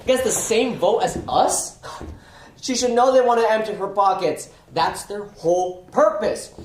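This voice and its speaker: A woman's voice: medium pitch, darkish tone, close to an everyday voice. A woman student actor playing a scornful, argumentative loan shark in a stage play, with an emphatic, sardonic delivery. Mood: indignant. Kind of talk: stage play acting in a one-act play. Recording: echoey theater room, faint noise.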